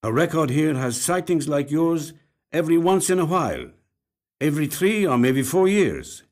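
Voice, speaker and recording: A man voicing a weary, grave police detective with deliberate, heavy pauses in a short story reading. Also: fairly high pitch, big pitch swings, darkish tone, voice pushed up. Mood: matter-of-fact, ominous. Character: weary, grave Spanish-speaking male police detective of cold cases.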